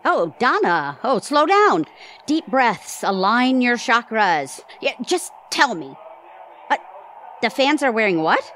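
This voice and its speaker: A woman's voice: a little high, very animated, slow, fairly bright tone, a little hiss or hum, full character voice, low-quality recording. A woman playing a sarcastic, frazzled older wife with a dry, wisecracking manner in an audio play. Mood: alarmed. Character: Annie, sarcastic, frazzled retirement-age wife. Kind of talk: audio theatre acting.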